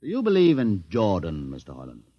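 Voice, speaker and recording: An actor playing a crippled male mutant leader in a 1950s radio drama, measured, ironic and commanding. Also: on the low side, wildly swooping pitch, highs cut off. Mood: probing. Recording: old 1950s radio broadcast.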